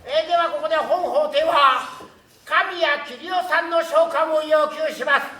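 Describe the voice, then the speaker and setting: A man's voice: very high voice, bright, forceful tone, heavily acted. An actor playing a formal but hesitant male courtroom judge in theatrical drama, hemming before his rulings. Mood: flustered authority. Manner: formal, hesitant with drawn-out hems. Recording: echoey stage, distant microphone, faint noise.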